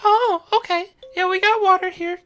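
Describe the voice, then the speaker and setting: A woman's voice: very high voice, steady tone, normal speed, fairly bright tone. A woman voicing a helpful, reassuring camp medic creature in a casual tabletop roleplay. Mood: friendly, reassuring.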